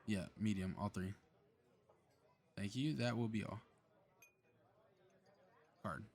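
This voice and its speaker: A man's voice: fairly low pitch, takes it slowly, a little mellow. A man playing a cocky, playful superhero in a flirty ASMR roleplay audio drama, with casual teasing banter. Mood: polite.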